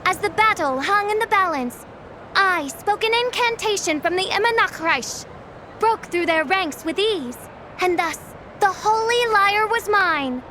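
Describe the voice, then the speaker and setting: Very high, grandiose theatrical young lady. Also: expressive, unhurried, pushed and bright, slight background noise, heavily acted. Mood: grandly boastful. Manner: pompous, archaic, dramatic declaiming. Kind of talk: video game voice acting.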